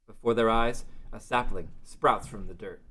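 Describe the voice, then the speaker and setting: Low-pitched male story narrator. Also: normal speed, pushed and bright, breathy edge. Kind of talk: scripted narration at a table read.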